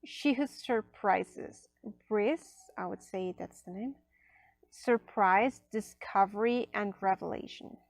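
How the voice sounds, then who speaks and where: Slow, chatty woman with a gravelly edge. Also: mid-range voice, low-quality recording. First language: Spanish. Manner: chatty, many fillers, drops to soft near-whispers. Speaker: oracle deck reviewer. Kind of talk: casual unboxing review. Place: indoors at a table.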